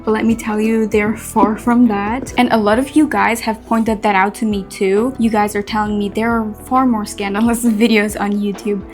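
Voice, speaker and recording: A young woman from Switzerland, a native Swiss German speaker, chatting earnestly with soft laughs while carving a pumpkin in a Q&A vlog. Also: mid-range voice. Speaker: content creator.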